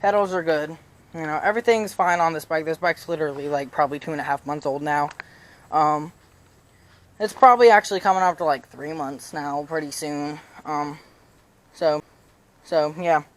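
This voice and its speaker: A young man talks through bike tips in a loose, rambling way, stacking fillers and restarting his sentences. His voice sits a little high and is slightly raspy, and he sounds casual. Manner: rambling, full of "you know" fillers and restarts. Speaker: BMX vlogger. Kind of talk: casual how-to vlog. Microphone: chest-mounted action camera.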